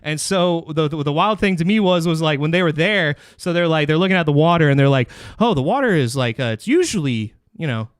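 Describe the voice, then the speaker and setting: A man talks in a casual, joking podcast style, swearing freely as he rambles. His voice is fairly high for a man, clean and smooth, recorded very dry and close. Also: lively intonation, a little fast, few pauses, no echo. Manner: profane, rambling, jokey bro-speak. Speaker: podcast host. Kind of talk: casual comedic chat.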